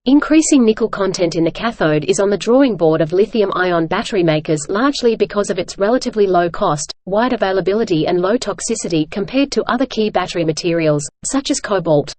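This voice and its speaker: Measured woman reading aloud, dry recording. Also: medium pitch, lively intonation, a little mellow, no room sound. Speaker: reader of exam practice passages. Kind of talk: scripted read-aloud narration.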